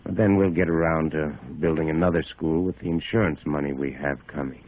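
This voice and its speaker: An actor playing a smug, crooked small-town boss who talks slowly and curtly with veiled threats in a 1950s radio drama. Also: male voice, extremely low pitch, steady tone. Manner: slow, curt, veiled threats. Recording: muffled old radio recording, noisy.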